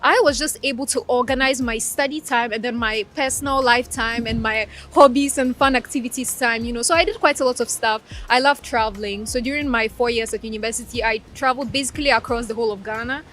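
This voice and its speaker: A young woman from Ukraine, a native Russian speaker, talks about her studies in an articulate, measured way. Her voice sits a little high. She answers in an interview. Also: fairly bright tone, faint music behind. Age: 20s. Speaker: guest, law graduate.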